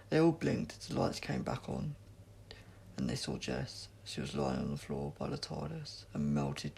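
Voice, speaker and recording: A man narrates a story with heavy creak in his voice and lively swings of pitch. He reads dramatically, over a faint layer of noise. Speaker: audiobook reader.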